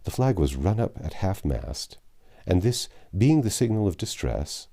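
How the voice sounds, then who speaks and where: A man with an extremely deep, mellow voice narrates a classic novel. His delivery is measured and dramatic, with lively swings in pitch, like an audiobook storyteller.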